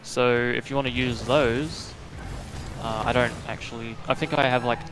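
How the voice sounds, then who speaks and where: A man's voice: medium pitch, moderate pace, music in the background. A man chatting casually and rambling a bit while streaming a game with a friend. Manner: relaxed, rambling with fillers. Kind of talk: casual gaming chat.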